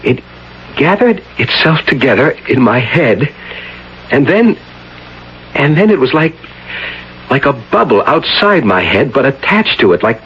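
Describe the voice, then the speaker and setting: An intense American professor in an old radio drama. His speech is halting and theatrical, with very animated pitch that rises and plunges between pauses. The recording is dull and lo-fi, with faint noise. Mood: awed, intense. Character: intense troubled male parapsychology professor, astonished by his own experience. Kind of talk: vintage radio drama acting.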